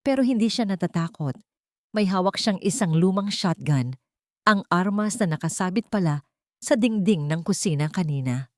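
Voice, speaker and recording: Woman storyteller, dramatic scripted read, kinda low voice, very dry sound. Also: lively intonation, normal speed, no room sound. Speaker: audio story narrator. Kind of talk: dramatic scripted first-person narration.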